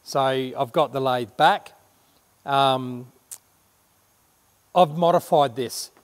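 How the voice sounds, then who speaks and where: Middle-aged man, casual and rambling vlog delivery, average pitch, long shed reverb. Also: takes it slowly. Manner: chatty, rambling, self-correcting. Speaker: renovation vlogger. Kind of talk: casual show-and-tell to camera. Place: large workshop shed indoors.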